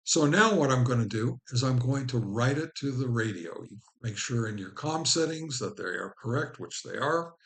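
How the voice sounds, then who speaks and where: A man hosting a casual how-to video, talking informally and somewhat haltingly as he explains each step. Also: medium pitch, lively intonation, a bit bright. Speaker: host of a ham radio how-to channel. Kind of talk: casual tutorial narration.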